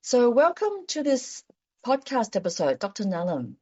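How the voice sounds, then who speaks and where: A woman's voice: on the high side, normal speed, husky, highs cut off. A woman doctor hosting a podcast, chatting casually with a guest. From China. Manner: lively scripted intro, then relaxed chatty questions. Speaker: podcast host, GP. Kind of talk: podcast interview.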